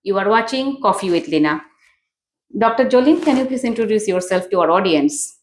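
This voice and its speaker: A woman's voice: mid-range voice, lively intonation, brisk, echoey room, a little hiss or hum. A woman hosting an interview, chatty and affirming, with loose, non-native grammar. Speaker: host. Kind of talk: conversational interview.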